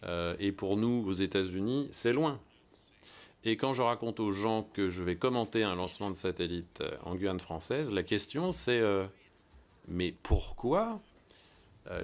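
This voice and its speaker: Enthusiastic man commentating a rocket launch. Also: lowish voice. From United States. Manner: enthusiastic, conversational, many hesitations. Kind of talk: live event commentary.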